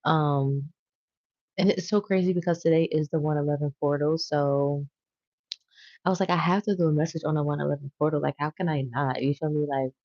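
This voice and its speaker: A woman's voice: low voice, fairly even intonation, brisk, warm tone, no room sound, lo-fi sound. A woman hosting a spiritual tarot livestream, chatting casually and slangily straight to her viewers. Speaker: tarot reader and livestream host.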